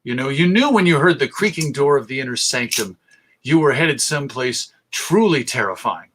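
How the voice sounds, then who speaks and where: A man's voice: mid-range voice, animated, normal speed, a bit bright, husky. A man presenting an informal history talk as host, enthusiastic and emphatic as he lists examples. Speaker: presenter, radio show host.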